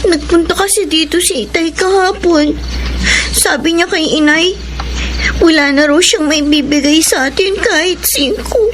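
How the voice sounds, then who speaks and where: Very high, plaintive young schoolgirl. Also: unhurried. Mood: sad, worried. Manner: plaintive, childlike. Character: distressed young schoolgirl, tearful little sister. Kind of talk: Filipino radio drama acting. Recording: noisy radio drama broadcast.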